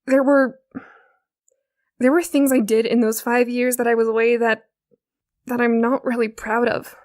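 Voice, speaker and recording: A woman's voice: on the high side, flat delivery, moderate pace, a little mellow, close to an everyday voice. A woman playing a tough, guarded young fighter in an audio drama, curt and defensive. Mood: guarded confession.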